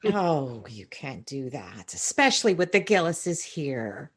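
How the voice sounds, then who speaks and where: An actress playing a disapproving, conscientious wife in an old-time radio sitcom, speaking reproachfully. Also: female voice, low voice, very animated, slow pace, fairly bright tone, slightly rough, exaggerated voice. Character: disapproving, conscientious wife of a scheming working man.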